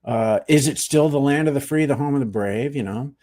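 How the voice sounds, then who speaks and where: A middle-aged man from New Jersey tells his stories at an easy pace. His tone pokes fun at himself, and he lets his voice fall into quiet asides as he finishes a thought. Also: a little fast. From New Jersey, USA. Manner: relaxed storytelling, self-deprecating, trailing off into low asides. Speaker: guest, actor and Marine veteran. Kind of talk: casual interview chat.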